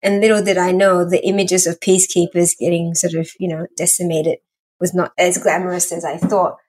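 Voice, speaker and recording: Woman guest on a podcast, grew up in malaysia and singapore, lively chatty storytelling. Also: on the low side, a little fast, breathy edge, rough recording. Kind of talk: casual interview storytelling.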